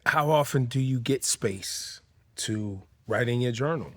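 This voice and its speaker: A man's voice: mid-range voice, measured pace, a bit bright. A middle-aged man co-hosting an interview, making his points with emphasis and backing up the guest. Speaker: co-host, interviewer. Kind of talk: casual interview talk.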